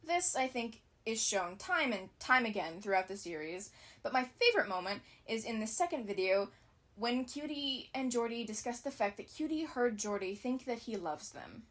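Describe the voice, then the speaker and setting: A woman's voice: on the high side, lively intonation, moderate pace, a bit bright. A woman giving scripted analysis commentary as a video essayist, with casual asides.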